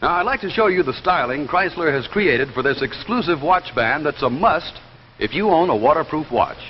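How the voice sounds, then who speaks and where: A man's voice: a little high, animated, normal speed, long reverb tail, slight background noise. A young man giving a polished, salesmanlike scripted announcement in the style of 1950s television advertising. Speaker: announcer and commercial pitchman. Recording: dull 1950s television soundtrack with music.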